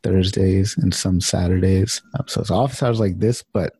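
A man with a gravelly, husky voice hosting open office hours in a loose, informal group chat. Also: deep voice, fairly even intonation, normal speed, mellow, no room sound. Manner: informal, loose, mild swearing.

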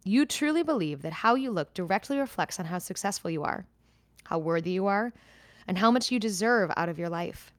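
A woman's voice: mid-range voice, expressive, brisk, no echo. A woman in her thirties co-hosting a podcast, chatting candidly and reading a personal letter aloud. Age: 30s. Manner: candid, talks in starts and restarts. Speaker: podcast co-host.

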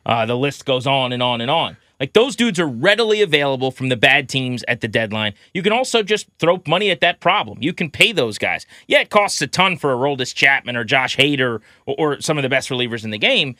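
Bright-voiced man, sports podcast co-host. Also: medium pitch, sing-song, a little fast, hardly any pauses, no echo, studio quality. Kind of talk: casual baseball talk.

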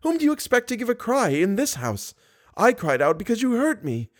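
A man's voice: very high-pitched, huge pitch swings, a little fast, voice pushed up, heavily acted. A man voicing a frightened young woman captive, pleading anxiously in an audiobook story. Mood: anxious denial.

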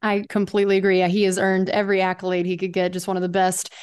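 A woman's voice: mid-range voice, completely flat delivery, quick pace, darkish tone, smooth. A woman, a team reporter hosting a radio show, interviewing a guest in an upbeat, fluent way.

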